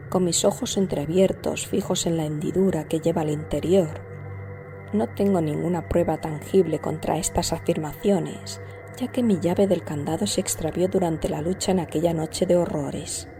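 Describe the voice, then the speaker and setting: A woman narrator giving a measured, scripted reading of a gothic horror story. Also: fairly low pitch, warm tone. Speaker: audiobook narrator. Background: music.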